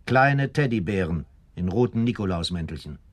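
An actor playing a composed, determined police captain in a radio drama, matter-of-fact and authoritative. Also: male voice, low-pitched, lively intonation, moderate pace. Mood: urgent, convinced.

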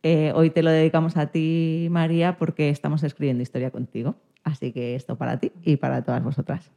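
A woman's voice: sits low, little pitch movement, fairly quick, creaky, warm tone. A woman with an accent from Spain, presenting a radio show as its host.

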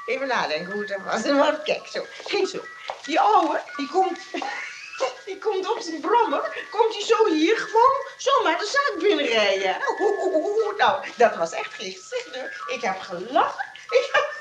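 Radio comedy shop lady: high, swooping voice, giddy and gushing, flustered, repeats herself. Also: very animated, unhurried, over music, full character voice, rough recording. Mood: amused, flustered. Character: giddy, smitten, chatty unmarried shop lady. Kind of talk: radio play comedy acting.

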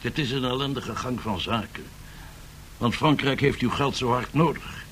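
Actor as courteous, troubled statesman, mid-pitched. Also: moderate pace, fairly bright tone, lightly acted. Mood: troubled, apologetic. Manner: formal, apologetic. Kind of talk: 1970s Dutch radio drama acting. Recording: noisy 1970s radio drama recording.

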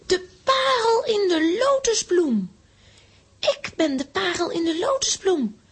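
An excitable young girl heroine of a children's radio play. The voice is extremely high and unhurried, with an earnest, childlike emphasis and a dreamy streak. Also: heavily acted. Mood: elated. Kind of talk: children's audio drama acting.

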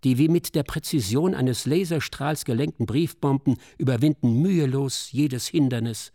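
A man narrating a scripted dramatic radio documentary in a solemn, measured, literary manner. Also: mid-range voice, warm tone, no echo.

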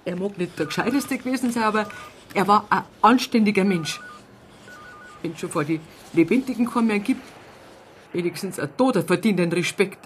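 An actress playing a blunt, stubborn, grieving middle-aged aunt with a Bavarian accent in a naturalistic radio drama. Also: female voice, medium pitch, moderate pace, long pauses, slight background noise, lightly acted. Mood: wounded, insistent. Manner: blunt, insistent, wounded. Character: stubborn, indignant, grieving aunt, plain small-town Bavarian woman.

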